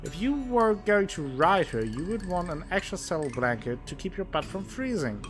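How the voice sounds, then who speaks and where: A man's voice: sing-song, moderate pace. A man streaming a game, reading its text aloud and joking between the lines. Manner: playful, reads narration with exaggerated pitch swings. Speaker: gameplay streamer. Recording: music playing underneath.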